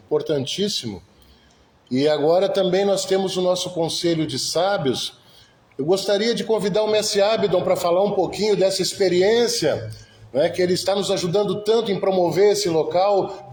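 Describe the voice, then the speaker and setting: A man's voice: sits high, a little mellow, a little hiss or hum. A man from Brazil hosting an event, giving an informal but effusive introduction to guests. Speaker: host of the venue. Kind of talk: informal public introduction speech. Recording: echoey room.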